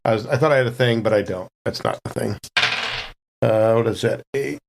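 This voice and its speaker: Guy at a tabletop game saying what his character does, medium pitch, folksy way of talking. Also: a little fast, creaky, heavy background noise. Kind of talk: casual in-game narration of his character's actions.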